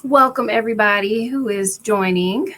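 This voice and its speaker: A woman with a raspy voice co-hosting a casual live spiritual chat, relaxed and chatty. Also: medium pitch, normal speed, a little hiss or hum. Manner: relaxed, chatty, often laughing.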